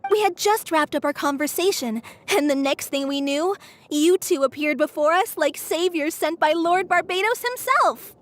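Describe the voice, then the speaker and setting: A woman's voice: sits high, moderate pace, close to an everyday voice. A woman voicing an earnest, anxious young woman who rescues animals, speaking politely and eagerly as a game character. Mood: hopeful. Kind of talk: English game dub voice acting.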